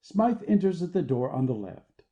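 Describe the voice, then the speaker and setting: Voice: medium pitch, big pitch swings, moderate pace, dark tone. A narrator reading the stage directions of a play as scripted narration.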